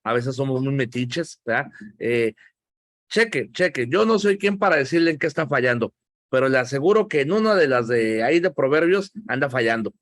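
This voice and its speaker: A man's voice: medium pitch, animated, moderate pace, dry recording, clean studio sound. A middle-aged man with a Mexican accent, a pastor teaching an online Bible class in a joking, emphatic, friendly scolding way.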